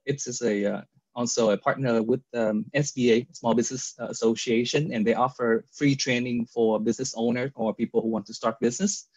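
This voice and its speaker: A man's voice: mid-range voice, fairly even intonation, brisk, darkish tone, dry recording. A man from Vietnam, speaking with a Vietnamese accent, tells his personal story as a guest in an interview, earnest, with many fillers and restarts over a video call. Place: indoor room with virtual background. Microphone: video call webcam. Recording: dull lo-fi video call audio.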